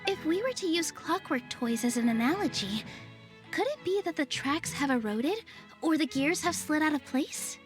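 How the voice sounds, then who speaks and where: A thoughtful young woman in a video game. The voice sits very high, with a gentle, courteous, soft-spoken manner. Music is clearly heard behind it. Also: expressive, normal speed.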